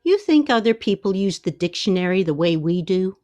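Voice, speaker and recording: An earnest, conscientious woman played by an actress in a radio play. Her pitch moves in lively swings as she gently corrects, in a close, dry recording. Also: fairly quick, dry recording, close to an everyday voice. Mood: earnest, uneasy.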